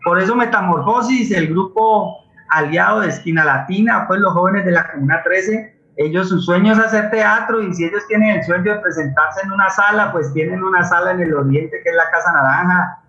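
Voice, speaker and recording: Higher-voiced Colombian man, echoey sound. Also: brisk, pushed and bright. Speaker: guest playwright and community theatre leader. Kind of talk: unscripted forum talk about theatre projects. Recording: dull, roomy internet call audio.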